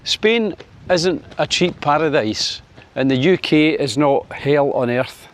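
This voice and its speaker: A Scottish man in his sixties from Scotland, chatting to camera on his vlog in a wry, filler-heavy way. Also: slow. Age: 60s. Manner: chatty, wry, lots of fillers, lifts his pitch for sunny Spain. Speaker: vlogger. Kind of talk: casual opinion vlog to camera.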